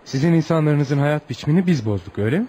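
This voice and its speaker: An actor playing a courteous, reflective gentleman visitor, gently probing and philosophical, in radio theatre. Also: a little high, animated, quick pace, slightly rough.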